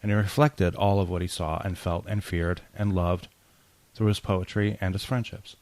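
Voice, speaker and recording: Deep, gravelly man reading a tribute. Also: steady tone, normal speed, darkish tone, no room sound. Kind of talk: spoken eulogy reading.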